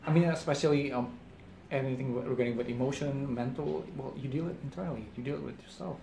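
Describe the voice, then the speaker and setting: A man's voice: mid-range voice, quick pace, creaky, mellow. A man from Indonesia with an Indonesian accent, talking thoughtfully in casual conversation and often repeating words as he gathers his thoughts. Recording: echoey room, faint background noise.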